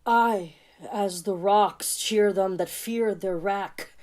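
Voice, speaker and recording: A woman playing a gentle, war-weary king in a Shakespearean audio drama, speaking mournful, measured verse. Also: mid-range voice, close to an everyday voice. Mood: grieving.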